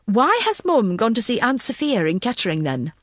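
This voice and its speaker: A woman voicing an anxious, wry detective in an audiobook, animated and given to exclaiming. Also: fairly high pitch, normal speed, dry recording, studio quality. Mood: familiar, teasing.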